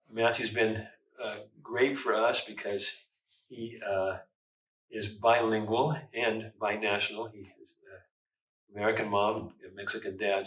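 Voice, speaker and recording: Man editor interviewing, lowish bright voice, mic a bit far off. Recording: roomy, distant mic.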